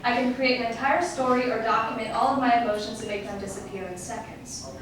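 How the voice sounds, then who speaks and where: A woman's voice: on the high side, fast talker, fairly bright tone, lightly acted. A woman playing a wistful, lovelorn male Elizabethan playwright in a formal, declamatory theatrical monologue in archaic English. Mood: wistful, confiding. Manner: declamatory, formal, poetic delivery. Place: stage, live performance. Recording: echoey noisy stage, recorded from far off.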